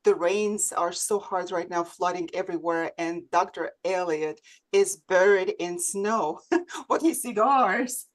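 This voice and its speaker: A woman's voice: lowish voice, a little slow. A woman hosting a conversational interview show, speaking over a remote video call. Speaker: host.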